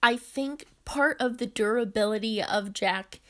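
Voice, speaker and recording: Woman host narrating a video essay, voice a bit high, casual asides here and there. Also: a little slow. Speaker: host narrating a documentary on comedy history.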